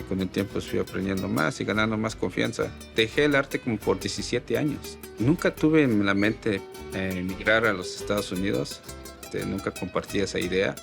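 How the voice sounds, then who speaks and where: Low gravelly man, music behind. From Oaxaca, Mexico. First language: Mixe. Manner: reflective, with frequent "este" fillers. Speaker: artist. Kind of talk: interview answers.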